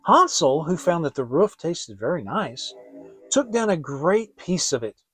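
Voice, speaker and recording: Animated, high-pitched male storyteller. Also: no room sound. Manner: expressive, theatrical. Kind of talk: dramatic audiobook reading of a fairy tale.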